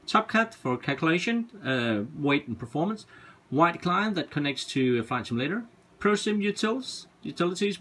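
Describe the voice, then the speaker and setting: A man's voice: medium pitch, a bit bright, slightly rough. A man casually walking through his home cockpit setup, hesitant and searching for words, recorded indoors on a handheld camera.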